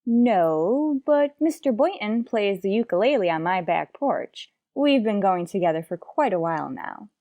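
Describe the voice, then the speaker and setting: A woman acts out a wry schoolteacher in a radio comedy, with a mellow voice at middle pitch. She is quick-witted and sardonic, recorded very dry and close. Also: lively intonation, no echo, highs cut off. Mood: dry, exasperated. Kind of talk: old-time radio comedy acting.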